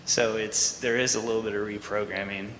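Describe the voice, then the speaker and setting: A man's voice: lowish voice, level tone, brisk, a bit bright. A man on a conference panel answering questions, explaining with everyday examples and many "like"s. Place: large conference hall. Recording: echoey hall with faint noise.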